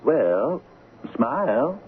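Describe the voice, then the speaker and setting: A man's voice: medium pitch, big pitch swings, drawn-out words. An actor playing a mild, kindly old hotel manager in a radio drama, hemming and hawing as he speaks. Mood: mild, halting. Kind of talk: 1970s radio drama acting. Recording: muffled lo-fi radio recording with hiss.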